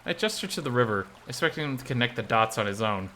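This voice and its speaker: Bright, animated male story narrator. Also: medium pitch, fast. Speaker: narrator reading a visual novel aloud. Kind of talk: expressive first-person story narration.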